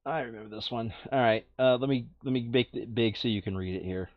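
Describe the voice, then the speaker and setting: Expressive man playing a board game, dull audio. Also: mid-range voice, quick pace, no echo. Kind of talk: casual game playthrough, reading card text aloud.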